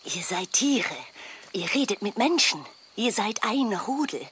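A woman's voice: a little high, expressive, measured pace, fairly bright tone, husky, heavily acted. A woman playing an awed youngster in a children's audio drama. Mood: hushed accusation.

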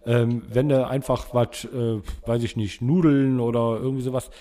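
A man hosts a casual podcast chat. His voice sits at a middle pitch, and he talks in an unscripted, conversational way. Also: fairly even intonation, moderate pace, mellow. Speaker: podcast host.

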